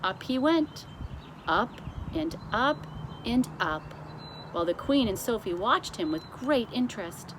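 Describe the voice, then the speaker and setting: A woman with a fairly high voice narrates a children's story expressively. Clear background noise runs under her reading. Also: expressive, unhurried. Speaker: storybook reader.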